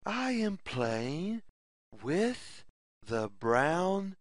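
Very slow, sing-song children's story reader. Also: medium pitch, big pitch swings, dry recording, studio quality. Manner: deliberate, word-by-word delivery with sing-song questions. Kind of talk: slow read-aloud of a repetitive children's picture story.

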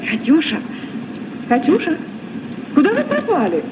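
A dreamy, kindly woman librarian in a vintage radio play. Her voice is high and very lively in melody. It sounds muffled and distant, with music behind. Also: measured pace, background noise, full character voice. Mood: brisk, fussing. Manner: expressive, theatrical delivery. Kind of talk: Soviet children's radio play acting.

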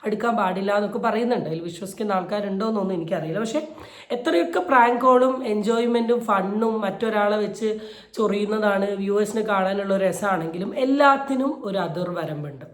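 A woman's voice: medium pitch, quick pace. A woman giving indignant, emphatic video commentary, repeating phrases to drive her points home. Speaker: YouTube commentator. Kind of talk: opinion commentary on a viral video. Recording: roomy, echoey voice recording.

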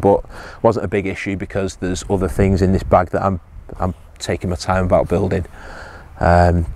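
A man's voice: sits low, darkish tone. A man, casually reviewing survival gear outdoors on a hillside, hesitant with many ums and restarts.

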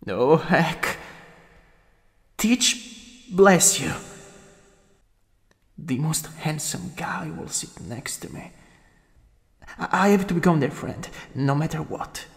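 Man acting a gravelly, rude young school bully. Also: a little high, expressive, takes it slowly, long pauses, full character voice. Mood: smitten, flustered. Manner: cocky taunts breaking into stammering, breathy asides. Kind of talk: ASMR roleplay drama.

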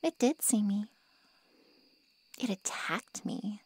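A woman playing a haughty, callous ancient vampire in an ASMR roleplay drama, with mocking sarcasm and a breathy drawl. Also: mid-range voice, expressive, slow pace, darkish tone, no room sound, exaggerated voice. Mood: cold, disdainful.

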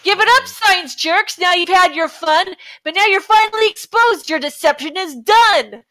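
A woman's voice: very high voice, lively intonation, normal speed, pushed and bright. A woman doing a casual comedic reading on a podcast, brash and sweary in manner. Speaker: podcast panelist reading parody songs.

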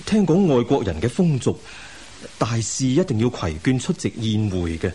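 A man's voice: medium pitch, big pitch swings, normal speed, warm tone, husky. A man acting a scholarly middle-aged imperial envoy and placating husband in a Cantonese radio drama, with a Hong Kong accent, sighing and hesitant. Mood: awkward, persuading. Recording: hissy radio drama rebroadcast.

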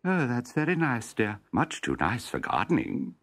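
A man's voice: mid-range voice, wildly swooping pitch, normal speed, fairly bright tone, pitched above the performer's own voice. A man voicing a professor's practical, fond wife in a storybook, fussing and encouraging. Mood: dismissive.

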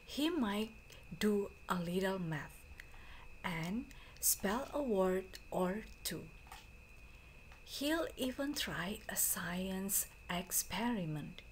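A woman teacher reading a storybook aloud to students in a deliberate, phrase-by-phrase way. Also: medium pitch, animated, a little hiss or hum. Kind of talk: slow storybook read-aloud for a class.

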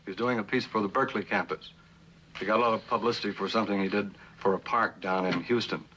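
A district attorney's businesslike assistant in a 1960s television drama. He talks quickly in a bright, forceful, fairly low voice. The delivery is plain and matter-of-fact. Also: steady tone, a little hiss or hum, rough recording. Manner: brisk, matter-of-fact reporting. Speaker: actor as prosecutor's aide.

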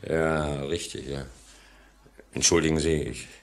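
An actor playing a menacing lift attendant in an old German radio play, hesitant and insinuating behind feigned politeness. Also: male voice, rumbling low, steady tone, measured pace. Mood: falsely apologetic.

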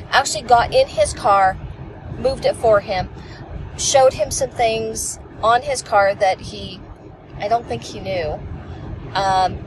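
Woman passenger, 40s, lively rambling chatter, a bit high, road noise in the car; dashboard camera. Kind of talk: casual chat. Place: inside a moving car.

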